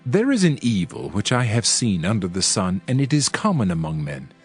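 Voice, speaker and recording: A man giving a dramatized scripture reading with a solemn, measured delivery. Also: on the low side, very animated, slightly rough. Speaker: scripture reader. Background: faint background music.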